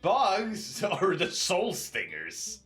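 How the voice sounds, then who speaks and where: A man's voice: high-pitched, huge pitch swings, drawn-out words, a bit bright. A man hosting a casual let's play, chatting, laughing and reading game text aloud. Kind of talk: casual gameplay commentary. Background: game music.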